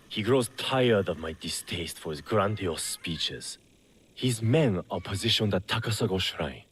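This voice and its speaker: An actor playing a smooth, self-serving male smuggler boss in feudal Japan, suave and mock-courteous, in a video game. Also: low voice, exaggerated voice. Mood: suave, calculating. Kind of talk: scripted video game cutscene acting.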